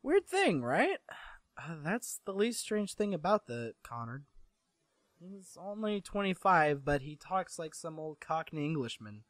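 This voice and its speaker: A man's voice: a little high, very animated, unhurried, no echo. A man voicing a young half-French man, sarcastic and guarded, who drops French curses into his speech. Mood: wry.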